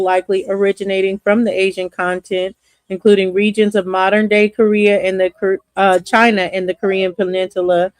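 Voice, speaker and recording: A woman's voice: medium pitch, no echo, low-quality recording. A woman reading a written overview aloud on a podcast, carefully, with small stumbles.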